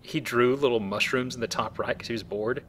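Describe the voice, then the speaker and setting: A man's voice: medium pitch, brisk, a little hiss or hum. A man hosting a video game playthrough with casual, joking commentary and a wry manner. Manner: wry, acts out mock quotes. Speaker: video game let's play host.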